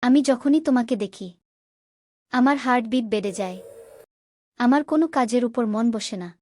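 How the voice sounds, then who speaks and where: A woman's voice: a little high, moderate pace, lightly acted. A woman voicing a sweet young village girl. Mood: loving. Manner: soft, affectionate. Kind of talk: Bangla cartoon voice acting.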